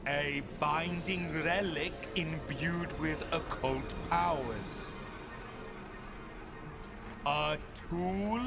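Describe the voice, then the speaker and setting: An actor playing a weary, haughty ancient spirit in a video game, speaking with imperious disdain for the living. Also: male voice, high voice, creaky, full character voice. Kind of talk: video game cutscene acting.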